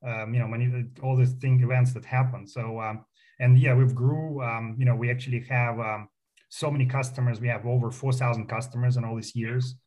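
A middle-aged man with a Russian accent talks in a loose, chatty way, full of ums and restarts, as a guest in a radio studio. His pitch sits in an ordinary middle range. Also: flat delivery, brisk, a little mellow, poor audio. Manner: chatty, many fillers and restarts, proud. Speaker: company founder guest. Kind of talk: casual radio interview.

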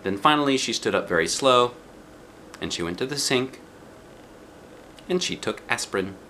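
A middle-aged man giving an expressive, playful read-aloud of a children's book. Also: mid-range voice, moderate pace. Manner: theatrical, playful emphasis. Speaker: storyteller reading a children's book. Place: small living room, indoors. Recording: faint background room noise.

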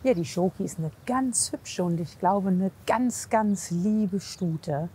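A woman's voice: mid-range voice, takes it slowly, dark tone. Encouraging woman coach with faint background noise. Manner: encouraging, reassuring, with frequent praise. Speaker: horse physiotherapist assessing horse and rider. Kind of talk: casual coaching chat and commentary.

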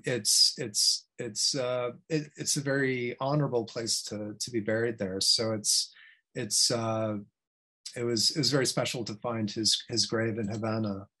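A middle-aged man presenting research in an informal academic talk, halting and thinking aloud as he goes. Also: medium pitch, a bit bright. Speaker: history professor presenting research. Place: indoors on a video call.

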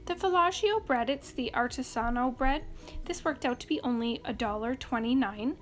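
A high-pitched woman talks through her grocery haul in a brisk, upbeat voice-over, rattling off prices, coupons and cashback. Soft music plays faintly under her voice. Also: expressive. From Canada. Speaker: couponing channel host. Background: faint background music.

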